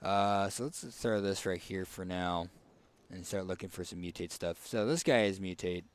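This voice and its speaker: A man with a raspy, hoarse voice casually commenting on a card game as he picks cards, thinking aloud with fillers and restarts. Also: low-pitched, normal speed. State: hoarse, tickly throat. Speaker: streamer.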